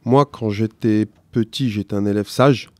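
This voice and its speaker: A middle-aged man whose first language is not French, answering interview questions as a teacher, slowly and hesitantly with many restarts. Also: lowish voice, fairly even intonation, a little mellow, no echo.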